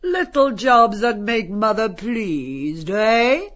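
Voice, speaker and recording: Casting: woman to play cruel, commanding brothel tyrant for audio drama. Unhurried, gloating delivery, slightly muffled recording. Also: on the high side, lively intonation, a bit bright. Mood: jeering, amused. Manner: commanding, jeering, theatrical. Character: domineering, sadistic brothel madam turned masterful tyrant.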